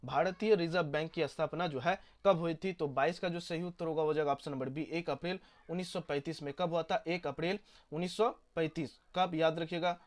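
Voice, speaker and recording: A young man, walking students through exam questions and repeating each answer. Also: fairly high pitch. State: hoarse. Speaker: teacher.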